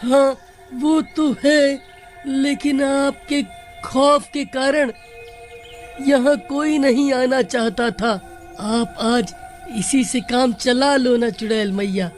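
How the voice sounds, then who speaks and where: A greedy, scheming and cowardly village farmer man voiced by a woman in a cartoon. The voice is very high and unhurried, with exaggerated wheedling. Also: fairly even intonation, darkish tone, faint music behind. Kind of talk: Hindi cartoon acting.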